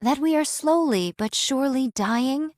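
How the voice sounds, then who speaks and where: High-voiced, archaic-speaking android girl. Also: expressive, measured pace, a little mellow, no echo, studio quality. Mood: grave, despairing. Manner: theatrical, archaic, formal diction. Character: eccentric, solemn young female android with archaic grand speech. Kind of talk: anime game voice acting.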